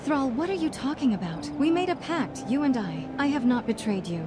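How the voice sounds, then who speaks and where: Firm, high-voiced noble leader. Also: female voice, very animated, a little fast, noisy background. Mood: concerned. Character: noble human woman leader, earnest, firm, indignant. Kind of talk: dramatic fantasy game dialogue.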